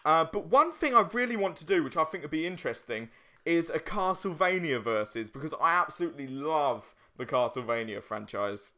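A man's voice: fairly high pitch, lively intonation, brisk, bright, forceful tone. A young man chatting casually as he co-hosts a video game let's play, informal and given to swearing. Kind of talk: casual chat while playing a video game.

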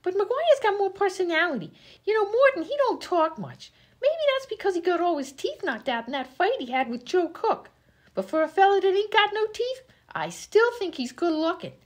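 A woman's voice: extremely high pitch, sing-song, normal speed. A woman playing a dim, chatty 1920s vaudeville comedienne, slangy and easily indignant, in an old-time radio comedy sketch. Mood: dreamy, chattering. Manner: scatterbrained, slangy, literal-minded.

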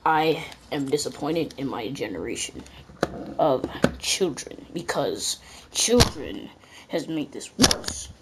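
A woman's voice: rumbling low, very animated, slow pace, very noisy. A woman commentating a video game in a casual, rambling, self-mocking way, her voice worn as if she is losing it. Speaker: gameplay commentator. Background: game music and sounds.

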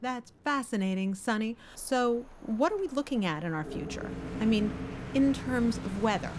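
A woman's voice: a little high, expressive, normal speed, background noise, close to an everyday voice. An actress in her thirties playing a breezy, polished morning news anchor in a film. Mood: polite. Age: 30s. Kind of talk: scripted news presenting in a film. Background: loud music.

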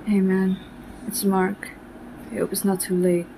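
A woman's voice: mid-range voice, level tone, a little slow, creaky, warm tone, slight background noise, rough recording. A woman voicing an anxious, apologetic young man in an amateur comic dub, pleading hesitantly.

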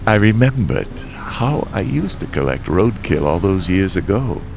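A man's voice: deep voice, very animated, measured pace, gravelly, background noise, full character voice. A man playing a world-weary, fatalistic father and damned driver, narrating a comic drama in a brooding, wry way. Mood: bleak. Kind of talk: scripted first-person dramatic narration.

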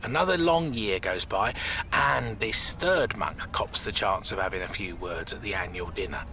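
A man's voice: on the low side, normal speed, pushed and bright, slight background noise. A man playing a bossy, wry crook boss in an audio comedy drama, chatty and colloquial. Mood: wry storytelling. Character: gruff bossy male crook boss, fond of jokes.

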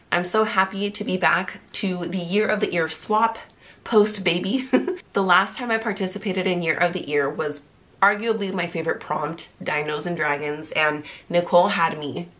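A woman's voice: mid-range voice, a bit bright. A woman in her thirties chatting to camera in a casual vlog, bubbly and talkative, her voice nasal and congested from a cold. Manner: bubbly, talkative, lots of "like" fillers. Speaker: vlogger. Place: small indoor room, seated before a pink wall.

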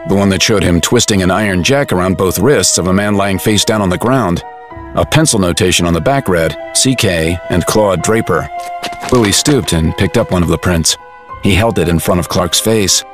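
A man's voice: deep voice, moderate pace, faint music behind. A man reading scripted narration for a dramatized audiobook, as storyteller.

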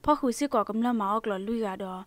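A woman reads scripted news in a fairly high voice. The sound is dry and close, as a radio news presenter would have it. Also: very fast, dry recording.

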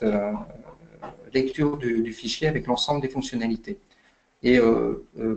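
Guy with a gravelly voice explaining stuff on a webinar, lots of umms and restarts. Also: mid-range voice, a little mellow, poor audio. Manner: hesitant, many fillers and restarts. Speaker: invited expert speaker.

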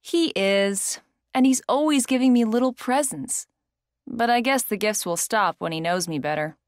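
A woman's voice: a little high, moderate pace, no room sound, clean studio sound, natural voice. An actress playing a fond young woman chatting in a scripted language-course dialogue. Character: fond young woman describing her boyfriend.

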